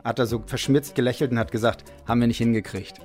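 Male interviewee, conversational storytelling, mid-range pitch, light music underneath. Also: fairly even intonation, fast talker, a little mellow. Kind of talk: spoken anecdote and explanation about music mixing.

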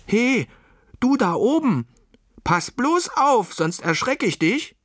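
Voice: mid-range voice, sing-song, slow pace, dry recording, clean studio sound, pitched above the performer's own voice. A storyteller voicing a plucky female guinea pig who wants to be a pirate, bold and eager. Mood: defiant.

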